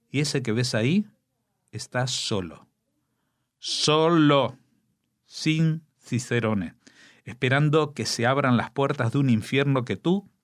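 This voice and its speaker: A man with an Argentine accent, a radio news commentator who reads a poem aloud in slow, solemn recitation, letting lines trail off quietly. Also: medium pitch, no echo, studio quality. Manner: slow, solemn recitation with dramatic pauses and hushed endings. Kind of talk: radio commentary and poetry reading.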